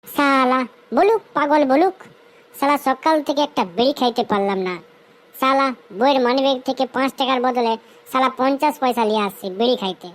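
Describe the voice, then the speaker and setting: Woman voicing a whiny, cheeky high-pitched cartoon schoolboy. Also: brisk, lightly acted, rough recording. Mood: bitter. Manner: whining, cursing, colloquial rustic speech. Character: whiny cheeky mischievous schoolboy who craves a smoke. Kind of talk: comic cartoon dialogue.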